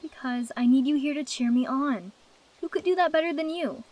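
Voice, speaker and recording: A woman's voice: high voice, normal speed, darkish tone, long reverb tail, lightly acted. A woman voicing a young female dragon in a fan dub, determined and sardonic, wanting to be queen. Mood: wry.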